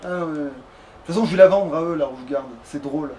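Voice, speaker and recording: A man's voice: a little high, quick pace, dark tone, recorded from a distance, a little hiss or hum, poor audio. A man chatting casually through a video game let's play, grumbling and swearing in crude slang. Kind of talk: casual gameplay chat.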